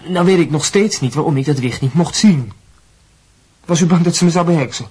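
Radio drama: defensive young student, higher-pitched, a touch rough, hesitant. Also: male voice, fairly quick, darkish tone. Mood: piqued, sarcastic. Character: prickly, defensive young medical student and pianist. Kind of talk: radio drama acting in Dutch.

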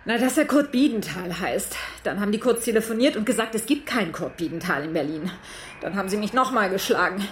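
A woman's voice: mid-range voice, expressive, a little fast, slight background noise, lightly acted. An actress playing a naive, mouthy, aggrieved shop woman in a radio crime comedy, blunt in manner. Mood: self-justifying. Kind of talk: naturalistic German radio crime comedy acting.

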